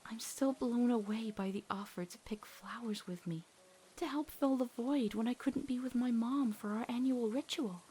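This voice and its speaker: A woman voicing an earnest, awkward teenage girl in an emotional cartoon comic dub, heartfelt and halting when nervous. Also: fairly high pitch, dark tone, slight background noise, lightly acted. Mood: tender, sincere.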